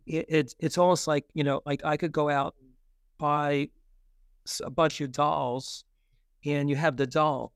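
A man with a slightly high, clear voice, captured dry and close. He chats casually as an interviewed author, halting and circling back over his phrases. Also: no echo. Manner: halting, circles back with repeated filler words. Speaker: interview guest, children's author. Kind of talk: casual conversational answers.

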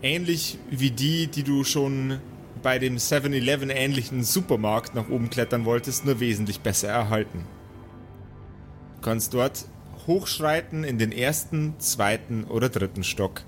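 A man's voice: medium pitch, moderate pace. Man narrating as game master over music. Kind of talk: improvised tabletop role-play narration. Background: faint background music.

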